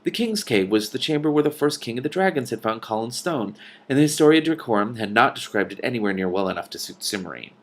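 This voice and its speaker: A man's voice: mid-range voice, expressive, quick pace. A man reading a storybook aloud and adding casual, exclamatory commentary as he goes. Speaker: reader and commentator.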